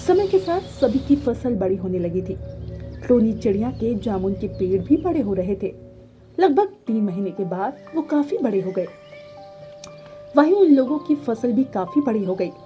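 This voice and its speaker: A woman reading scripted narration for an animated children's story. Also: on the high side, moderate pace, faint music behind.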